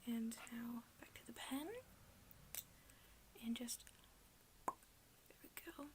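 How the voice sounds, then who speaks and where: A woman whispering in a breathy, hushed, halting way, doing an ASMR roleplay as a gloomy, deadpan emo best friend. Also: a little high. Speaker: ASMR video creator.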